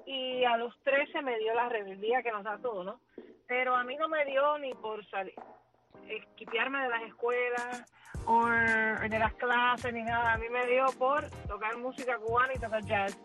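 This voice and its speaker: A woman in her thirties, a musician whose first language is English, talking warmly in a radio interview and often repeating words as she finds them. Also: on the high side, fairly even intonation, moderate pace, soft background music, low-quality recording. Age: 30s. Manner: warm, chatty, repeats words while finding them. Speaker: musician guest.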